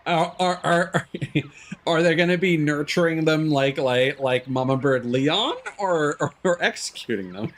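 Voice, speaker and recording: A man's voice: on the high side, unhurried, slight background noise. A man giving casual video game commentary as a live streamer, full of joking, playful asides. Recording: game music behind the voice. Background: video game music.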